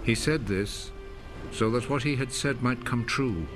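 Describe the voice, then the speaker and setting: A man narrating scripture for a film in a measured, solemn storytelling manner. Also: mid-range voice. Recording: film score behind the voice. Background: music.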